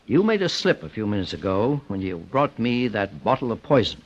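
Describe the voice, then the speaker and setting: An actor playing a calm, relentless male private detective in old-time radio style, deliberate, formal and accusatory. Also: fairly low pitch. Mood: firm, accusing. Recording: muffled vintage radio recording.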